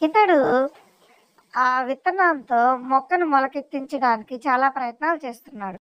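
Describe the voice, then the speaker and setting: A woman's voice: high-pitched, lively intonation, moderate pace, dry recording, lo-fi sound. A woman presenting and narrating a story as a schoolteacher would, in a scripted storytelling style. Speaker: teacher presenting and narrating a children's school skit.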